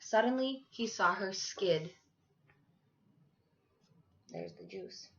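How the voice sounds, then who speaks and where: A woman's voice: mid-range voice, slow, creaky, highs cut off. A woman reading a children's storybook aloud expressively, like a teacher to her class. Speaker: school teacher reading to third graders.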